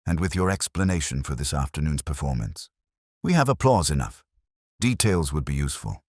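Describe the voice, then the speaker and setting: A man voicing a gentle, dryly witty police inspector in an audiobook mystery, measured and quietly authoritative. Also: extremely low pitch, sing-song, no echo, clean studio sound, heavily acted. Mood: calm, probing.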